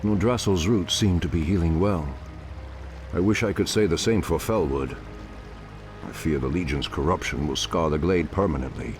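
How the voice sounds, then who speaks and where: A man playing a grave, wise ancient elven druid leader with a measured, formal delivery in a video game. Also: low voice, faint music behind, close to an everyday voice. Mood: grave concern. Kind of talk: dramatic video game cutscene acting.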